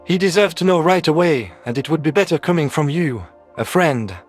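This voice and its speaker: A man voicing a calm, candid, friendly male alien doctor in a science fiction audio story. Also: a little high, fairly quick, faint music behind, close to an everyday voice. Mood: brisk, friendly.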